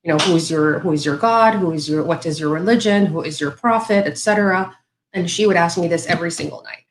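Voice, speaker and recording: Woman in her 30s from saudi arabia, lowish voice, lots of ums and restarts, echoey room with some faint hum. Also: fast talker. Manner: conversational, many fillers and restarts. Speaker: guest. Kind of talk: casual livestream interview.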